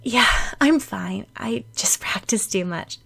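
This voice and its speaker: A woman's voice: a little high, animated, slow, vocal fry, fairly bright tone, husky, pitched above the performer's own voice, full character voice. Woman voicing a shy, hesitant schoolgirl. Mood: reassuring. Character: Sakura, naive, shy, flustered schoolgirl.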